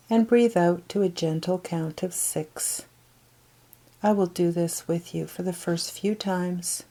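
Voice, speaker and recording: Female meditation guide: lowish, relaxed tone, gentle and breathy, extremely unhurried instruction. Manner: slow, gentle, unhurried counting and pauses.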